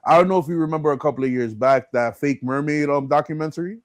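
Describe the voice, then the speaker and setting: A man's voice: on the high side, fast talker, dry recording. A man hosting a casual chat, speaking in a slangy, informal and encouraging way. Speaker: podcast host.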